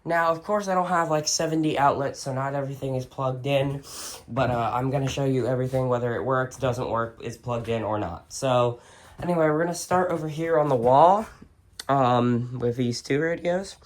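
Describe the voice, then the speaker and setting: A video host giving a casual, unscripted show-and-tell indoors in an informal, halting way. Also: medium pitch. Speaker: video host and weather radio collector. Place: indoors, in a room with shelves of radios.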